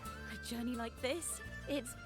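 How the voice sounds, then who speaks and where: An actress playing a plucky, affectionate young wandering bard in a video game, earnest and playful. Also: female voice, fairly high pitch, fairly even intonation, slow pace, music in the background. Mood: bold, excited.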